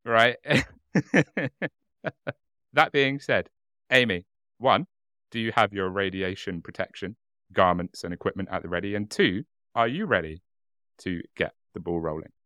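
Man, podcast host, casual chat, laughs often, lowish pitch, dry sound. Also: expressive, a little slow, long pauses, pushed and bright, dry recording. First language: English. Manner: giggly, self-deprecating, reads narration. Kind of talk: casual chat and reading a case.

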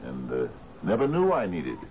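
A man's voice: sits low, huge pitch swings, moderate pace, full character voice. An actor playing a genial but domineering sea captain in a radio drama, hearty and lordly, repeating his phrases. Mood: delighted, insistent. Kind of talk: old-time radio drama acting. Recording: muffled, noisy old radio broadcast.

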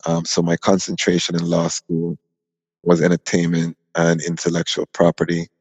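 A man in his forties, born in Jamaica and raised in Canada, telling his story as an interview guest in an unhurried, reflective way. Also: deep voice, monotone, gravelly, darkish tone, breathy edge, dry recording, big-room sound. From Canada (Toronto), born in Jamaica. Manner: unhurried, reflective, frequent ums. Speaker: guest, music conference founder.

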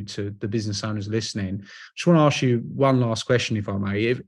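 A man's voice: lowish voice, a little mellow. A man hosting a business podcast, asking long, encouraging questions with small restarts. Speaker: podcast host. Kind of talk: interviewing.

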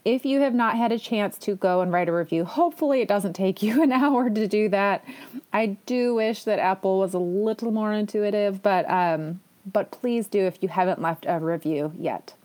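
A woman hosts her podcast alone in a casual, chatty way. She sounds eager and enthusiastic, and she often drops in little self-deprecating asides. Her voice sits at a middle pitch for a woman. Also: moderate pace, a little mellow. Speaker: podcast host. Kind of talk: casual solo podcast talk.

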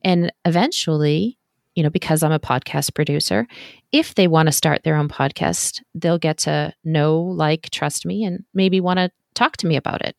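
Sweet-voiced woman with a low voice. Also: normal speed, gravelly, no room sound, clean studio sound. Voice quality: sweet-sounding voice. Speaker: podcast producer. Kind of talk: casual interview conversation.

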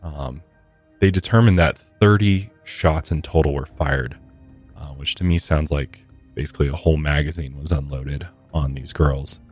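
Very deep-voiced male true crime podcast host. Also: normal speed, a little mellow, husky, faint music behind. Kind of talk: conversational true crime storytelling.

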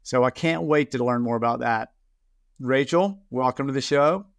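A man's voice: medium pitch, normal speed, vocal fry, no room sound, studio quality. A man hosting a podcast in a casual, conversational interview style. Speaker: podcast host.

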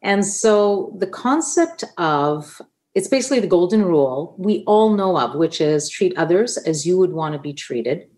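A woman's voice: on the low side, animated, a little slow, darkish tone. A woman from Canada whose first language is Italian, guesting on a business podcast and explaining her ideas through anecdotes. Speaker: guest expert. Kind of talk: podcast interview.

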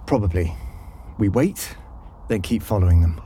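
A man's voice: deep voice, lively intonation, moderate pace, warm tone, a little hiss or hum. An actor playing a grumpy, sardonic man in an audio drama, dry, curt and bickering. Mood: tense, annoyed. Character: grumpy, sardonic man tailing people in disguise.

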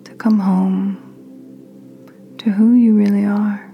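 A woman podcast host leading a guided meditation in a gentle, soothing, breathy near-whisper. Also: medium pitch, flat delivery, takes it slowly, muffled recording. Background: soft music.

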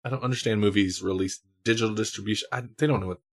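A man's voice: on the low side, rapid-fire. A man hosting a casual film discussion on a podcast. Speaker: podcast host.

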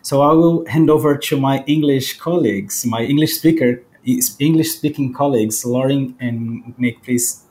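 A man with a Brazilian accent moderates in a mid-pitched, mellow voice. He hands over politely, with small hesitations. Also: normal speed, few pauses, slight background noise. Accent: Brazilian Portuguese. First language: Portuguese. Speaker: panel moderator.

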